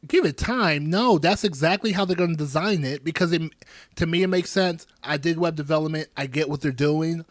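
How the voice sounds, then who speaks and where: A man in his thirties hosting a podcast, chatting casually with animated, joking asides. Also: on the high side, fairly quick, dry recording, studio quality. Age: 30s. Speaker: podcast host.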